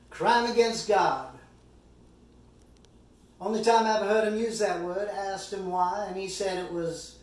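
A man performing a stage monologue as an earnest, impassioned middle-aged defence lawyer, speaking deliberately with dramatic pauses and emphatic oratory. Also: high voice. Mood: solemn, reminiscing. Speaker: amateur actor performing a monologue. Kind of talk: theatrical courtroom summation monologue. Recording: roomy echo, distant microphone.

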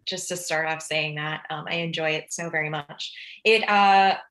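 A woman speaking as a podcast guest in a casual interview, thoughtful and pausing often as she explains. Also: sits low, steady tone, fairly bright tone. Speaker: podcast guest, audio drama director and writer.

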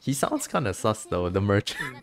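A game streamer with a low voice talks casually through what is on screen. The delivery is chatty and giggly, like relaxed commentary for viewers. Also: normal speed.

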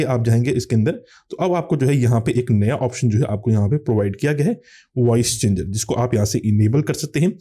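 A man's voice: medium pitch, warm tone, breathy edge. A man hosting a tech channel, explaining phone features step by step in tutorial commentary.

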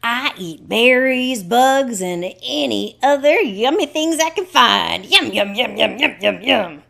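A woman's voice: on the high side, expressive, slow, vocal fry, a bit bright, heavily acted. A woman voicing an eager, greedy raccoon in a children's puppet show.

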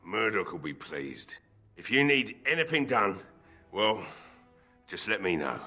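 An old village blacksmith from a fantasy game, drunk and grieving. His voice is gruff and forceful, bitter and weary, with faint music behind it. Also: lowish voice, big pitch swings, measured pace, heavily acted. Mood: resigned, grudging hope. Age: older. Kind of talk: fantasy game dialogue acting.

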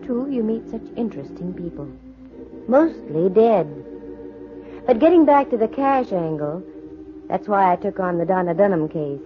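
A woman's voice: a little high, lightly acted. An actress playing a sassy female private eye with dry, sardonic wisecracks, in 1940s radio drama style. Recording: muffled vintage 1940s radio recording.

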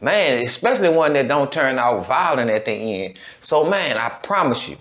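A man's voice: mid-range voice, expressive, normal speed, fairly bright tone, highs cut off. A man hosting a reaction show, speaking in casual slangy commentary with repeated, admonishing phrases. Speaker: reaction podcast host.

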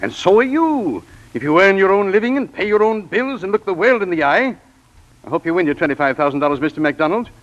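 Older office boss, high voice, formal, measured, stern but kindly, muffled old radio drama. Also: male voice, lively intonation, fairly bright tone. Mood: philosophical. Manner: deliberate, formal. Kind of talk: 1940s radio acting. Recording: dull vintage radio broadcast.

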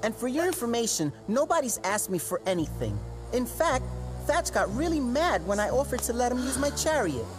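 A woman's voice: fairly high pitch, big pitch swings, moderate pace, music in the background. A woman voicing a cheery young mummy boy who tells jokes. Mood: playful. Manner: playful, joking.

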